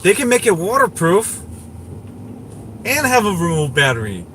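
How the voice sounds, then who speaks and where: Rambling man over clear background noise. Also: high-pitched, big pitch swings, normal speed, fairly bright tone. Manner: rambling, opinionated, repeats himself. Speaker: tech livestream host. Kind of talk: casual livestream chat, answering viewers.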